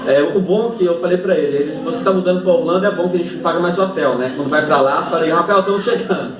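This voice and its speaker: A man's voice: a little high, quick pace, a crowd in the background. A man preaching to his church congregation as pastor, joking and thanking members before reading scripture with emphasis. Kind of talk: sermon. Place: church hall. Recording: echoey church hall from a distance. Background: congregation ambience.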